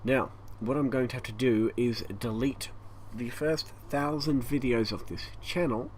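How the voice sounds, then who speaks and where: A man with an Australian accent and a croaky, hoarse voice, rambling through a casual channel update at home, stopping often for breath. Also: medium pitch, steady tone, a little slow, a little mellow. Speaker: YouTube gaming channel host. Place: hot home room with a fan running. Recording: faint fan noise behind.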